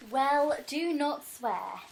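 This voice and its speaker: A woman's voice: high voice, expressive, very slow, vocal fry, a little hiss or hum, heavily acted, rough recording. A woman acting a young, lovestruck, earnest and anxious maiden in a Shakespearean audio drama, with theatrical verse delivery, recorded at home on a phone. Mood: earnest, wary.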